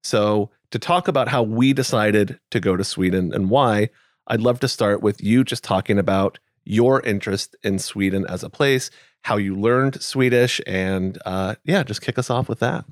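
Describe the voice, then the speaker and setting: A man hosting a podcast in casual chat, relaxed and thinking aloud with fillers. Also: lowish voice, normal speed, no echo, studio quality. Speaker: podcast host.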